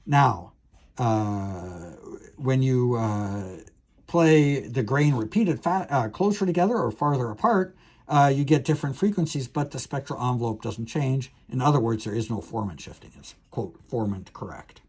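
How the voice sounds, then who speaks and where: Guy prof doing a technical lecture, middle pitch, kinda rough husky voice, works it out as he talks. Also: animated, normal speed, a little mellow. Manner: explanatory, hesitant, thinking aloud with self-corrections. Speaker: university professor lecturing.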